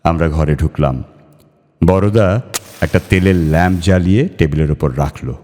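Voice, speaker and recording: A male storyteller narrates a ghost story in a low voice. His delivery is measured and expressive, building suspense. Long, hall-like reverb trails his words. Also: slight background noise.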